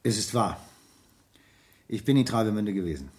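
An actor playing a formal, anxious businessman under suspicion in a 1970s German radio crime drama, speaking deliberately and defensively. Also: fairly low pitch, lively intonation, a little mellow, husky. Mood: stiff, cornered.

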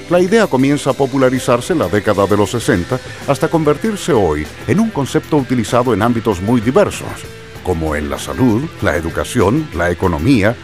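A man narrates in a formal, didactic style. His voice is fairly low with lively intonation, over music. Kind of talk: scripted educational narration.

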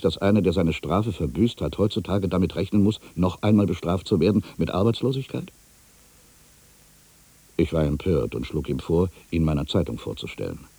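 Older male embittered ageing writer for radio drama: low, husky, widely swinging delivery, musing and theatrical. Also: dark tone, no room sound, heavily acted. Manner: theatrical, musing, with rhetorical questions and pauses. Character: ageing male writer, brooding, bitter, addressing his past. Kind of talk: literary radio drama monologue.

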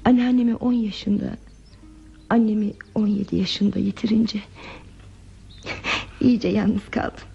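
Muffled radio theatre with music behind it. a lonely, fragile woman with a creaky, mellow voice. She confides softly and hesitantly, wistful and withdrawn. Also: medium pitch, fairly even intonation, measured pace, long pauses, heavily acted. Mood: melancholy, confiding. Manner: hesitant, confiding, soft and reflective delivery. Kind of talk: Turkish radio theatre acting.

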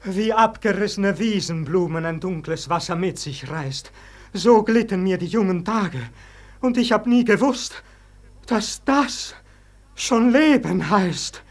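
A man's voice: high-pitched, lively intonation, slow. An actor playing an anguished, brooding man facing Death, declaiming verse in a theatrical, plaintive way in a classic German radio drama. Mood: wistful, defiant.

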